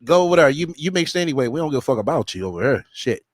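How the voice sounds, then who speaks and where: A man's voice: a little high, big pitch swings, rapid-fire, dry recording, studio quality. Blunt, cussing man on a livestream. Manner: blunt, dismissive, profane. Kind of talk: casual livestream chat.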